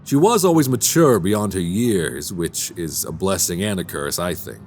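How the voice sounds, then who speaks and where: A man's voice: low-pitched, lively intonation, normal speed, darkish tone, faint music behind. A man playing an affable, self-deprecating bachelor writer in a radio drama, with a wry, theatrical way of talking. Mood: warm, thoughtful.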